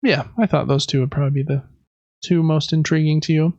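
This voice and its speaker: A man's voice: fairly high pitch, fairly even intonation, a little fast, a little mellow, dry recording, highs cut off. A man hosting a casual board game podcast, chatting and explaining things in a conversational way. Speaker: podcast host.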